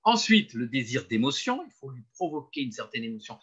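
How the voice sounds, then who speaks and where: A man's voice: medium pitch, sing-song, a little fast, fairly bright tone, breathy edge. An older man from France giving a webinar presentation on marketing, lecturing emphatically with self-deprecating humor. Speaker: webinar speaker. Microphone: webinar computer mic. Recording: muffled lo-fi webinar audio.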